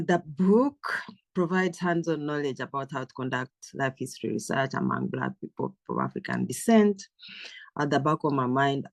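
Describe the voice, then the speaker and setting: A woman from Uganda, a professor and author, giving a measured, careful self-introduction with frequent pauses and ums. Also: a bass voice, dry recording.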